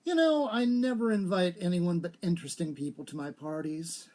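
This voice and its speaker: A man's voice: high voice, normal speed, darkish tone, lightly acted. Storybook socialite played by a male reader: younger woman, wheedling, gushing, used to getting her way. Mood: breezy, teasing. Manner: coaxing, gushing. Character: wheedling younger female socialite, willful and dramatic.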